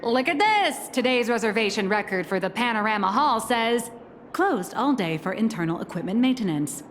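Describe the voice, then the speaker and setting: A woman's voice: on the high side, expressive, moderate pace, slight background noise, natural voice. An actress playing a shrewd, playful, bossy female magician in a game, theatrical and knowing in her delivery. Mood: uneasy. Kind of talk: game voice acting. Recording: reverb, faint music behind.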